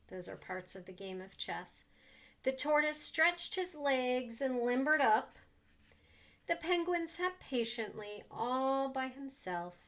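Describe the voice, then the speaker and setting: A woman reading a picture book aloud to children, gently pausing to ask them questions about the pictures. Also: on the high side.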